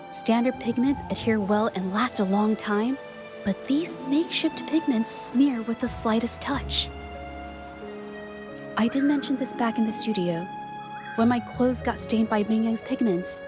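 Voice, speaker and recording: A high-pitched, timid young woman in a video game, played by an actress, speaks politely and earnestly. She reasons things out carefully and a little hesitantly, with music clearly playing behind her. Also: lightly acted. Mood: pondering. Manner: hesitant, polite, thoughtful deduction.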